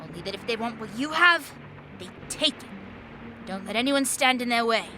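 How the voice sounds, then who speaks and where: Woman voicing a high, animated young orphan boy. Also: normal speed, a little hiss or hum. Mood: ominous storytelling. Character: young orphan boy, protective, stubborn, suspicious older brother. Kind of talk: audio drama acting.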